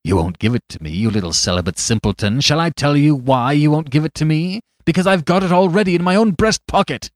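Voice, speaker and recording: A bold master thief with a lowered, heavy voice that shifts between quiet menace and loud mocking bluster , as a male narrator plays him. The read is dry and close to the mic. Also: animated, normal speed, no echo. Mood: gloating. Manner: low and menacing, turning boisterous and mocking. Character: great robber, a big bold master thief.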